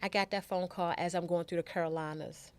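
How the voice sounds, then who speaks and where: A woman's voice: lowish voice, steady tone, fairly quick, clear, resonant tone. A middle-aged American woman telling a personal story as a podcast guest, chatting casually, acting out conversations and repeating phrases for emphasis.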